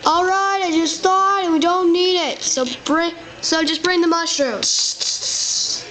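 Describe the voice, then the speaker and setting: A woman's voice: very high voice, fairly even intonation, slow, bright, forceful tone, soft background music, heavily acted. A female voicing a bossy, scatterbrained young male wizard hosting a cooking show in a homemade toy play. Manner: bossy, scatterbrained, giving orders. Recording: noisy home recording.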